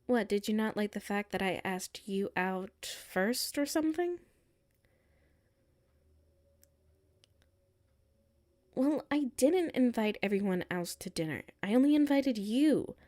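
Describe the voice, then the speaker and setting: A woman's voice: on the high side, expressive, unhurried, darkish tone. A woman playing a hurt, defensive tsundere coworker with a secret crush in a roleplay audio, exasperated and emphatic, dropping into sulky murmurs. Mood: indignant. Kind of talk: roleplay audio acting, one side of a conversation.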